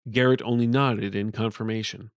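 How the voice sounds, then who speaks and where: A man's voice: lowish voice, steady tone, moderate pace, clean tone, dry recording. Male fantasy storyteller, dry close sound. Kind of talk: scripted fantasy audiobook narration.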